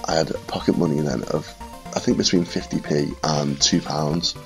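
A man in his thirties with a northwest English accent and a cold, reminiscing quietly and casually to camera in a room at home. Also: rumbling low, steady tone, normal speed, gravelly, soft background music. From Liverpool and Widnes, England. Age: 30s. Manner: quiet, subdued late-night talking. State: congested with a cold. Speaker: retro gaming video maker. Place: small furnished room at home, indoors.

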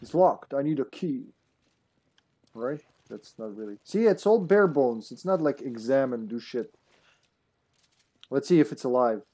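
Brief: thirties male streamer, chatty, jokey, laughs easily, mid pitch. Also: expressive, warm tone. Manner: joking, chatty, quick laughs. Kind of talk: casual co-op gameplay chat.

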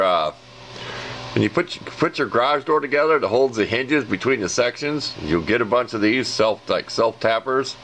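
A man's voice: lowish voice, fairly bright tone, a little hiss or hum, low-quality recording. A man casually showing and explaining odd car parts and trinkets in a rambling, plain-spoken way, recorded at a table. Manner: rambling, plain-spoken, mechanic's know-how. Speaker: channel host showing ashtray contents. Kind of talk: casual show-and-tell vlog. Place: indoors at a table.

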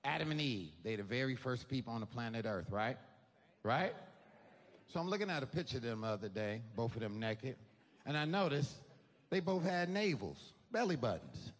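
Animated stand-up man in an echoing hall. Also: medium pitch, moderate pace. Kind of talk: stand-up comedy storytelling. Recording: noisy, reverberant hall.

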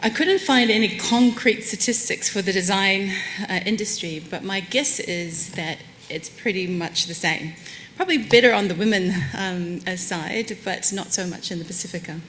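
A woman's voice: medium pitch, moderate pace, a little hiss or hum. Candid New woman giving a stage talk. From Samoan heritage, New Zealand. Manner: warm, candid, self-deprecating with light laughs. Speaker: conference speaker. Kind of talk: personal keynote talk. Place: conference stage at a lectern, live audience. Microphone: lectern microphone.

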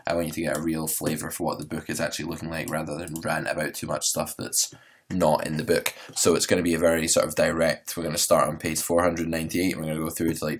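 A man, hosting a poker podcast and explaining strategy in a relaxed, rambling way with many fillers. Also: rumbling low. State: hoarse. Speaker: poker coach and podcast host. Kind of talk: solo instructional podcast.

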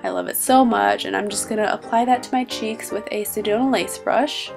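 A woman's voice: medium pitch, brisk, gravelly, soft background music. Stuffy-nosed young woman, chatty vlog. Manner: chatty and upbeat, naming each product. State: stuffy nose from a cold. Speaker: beauty vlogger. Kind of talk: makeup tutorial. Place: small bedroom.

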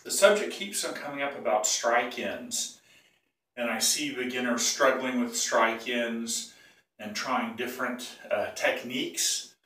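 An older man with a cold teaches bagpipe technique in a casual, rambling way. He keeps clearing his throat and stops to correct himself. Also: mid-range voice, unhurried, bright, forceful tone. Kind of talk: casual tutorial. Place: small tiled bathroom. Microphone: phone or camera some feet away. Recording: echoey bathroom, voice from a distance.

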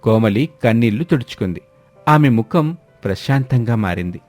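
A male storyteller with a mid-pitched voice reads a scripted family drama. His narration is dramatic, and music plays behind him. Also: animated, moderate pace, a little mellow.

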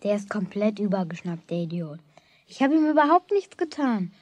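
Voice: mid-range voice, very animated, moderate pace, dry recording. A performer playing an indignant, outspoken schoolgirl in a children's radio play, blunt and complaining.

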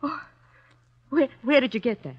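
An actress playing a bitter, anxious wife in a 1950s radio drama. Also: female voice, high-pitched, big pitch swings, normal speed, husky, heavily acted. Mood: distraught. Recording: dull vintage 1950s radio recording.

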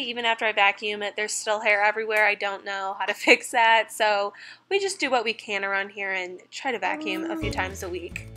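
A young woman chatting candidly as she narrates her own cleaning vlog in a relaxed voice-over. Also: a little high. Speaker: vlogger. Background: music bed.

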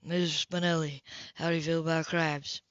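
A dull, dry lo-fi audiobook recording of a cocky man flirting , read by a woman. He is lazily confident and teasing, with a casual, lowered delivery. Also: flat delivery, moderate pace, dry recording, natural voice. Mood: teasing, seductive.

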